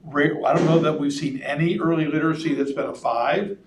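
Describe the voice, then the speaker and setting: Slightly hoarse man in an echoey room. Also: on the high side, steady tone, brisk, background noise. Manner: conversational, with frequent ums and uhs. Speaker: school superintendent. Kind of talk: presenting a report at a board meeting. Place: public meeting room. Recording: roomy meeting-room audio from a distant mic.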